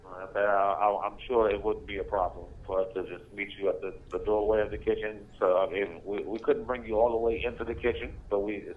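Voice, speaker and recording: Fast-talking, low-voiced man on a service call. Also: flat delivery, narrow, tinny audio. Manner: polite, accommodating, repeats himself. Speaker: restaurant employee taking a phone call. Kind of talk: customer service phone conversation.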